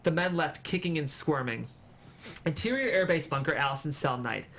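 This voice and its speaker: Higher-pitched man narrating a screenplay. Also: expressive, normal speed, a bit bright. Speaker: narrator reading stage directions. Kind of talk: scripted narration at a screenplay table read. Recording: faint room noise.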